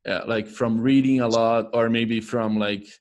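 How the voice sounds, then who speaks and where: A man with a Portuguese accent, a non-native English speaker, asking interview questions haltingly with many fillers. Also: medium pitch, fairly even intonation.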